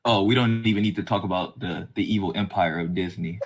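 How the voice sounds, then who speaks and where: A man's voice: low voice, steady tone, a little fast. A man hosting a podcast interview in a casual, conversational and slangy way. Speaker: podcast host.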